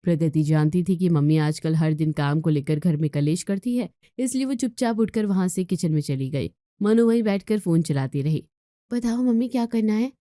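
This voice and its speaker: A woman's voice: low voice, moderate pace, dark tone, no echo, studio quality. A woman storyteller reading a scripted moral tale as narration.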